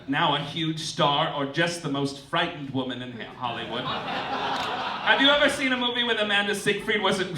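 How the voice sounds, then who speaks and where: High-pitched campy man on a roomy stage. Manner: campy, theatrical, swooping emphasis. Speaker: stand-up comedian hosting a live show. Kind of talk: satirical stand-up monologue, mock-formal announcements. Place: indoor cabaret club stage. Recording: roomy live stage, distant, clearly noisy.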